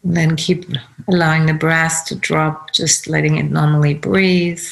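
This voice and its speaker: A woman guides a meditation in a fairly low voice with plenty of gravelly creak. She speaks very slowly, offering short, unhurried instructions and leaving space between phrases. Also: flat delivery.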